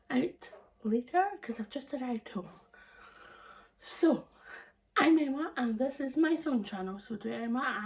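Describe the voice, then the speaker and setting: Hoarse, slow-talking woman vlogger. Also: fairly high pitch, distant mic, low-quality recording. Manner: chatty, laughs often, meandering. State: hoarse, croaky throat. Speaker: sewing channel host. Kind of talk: casual chat to camera. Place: living room indoors.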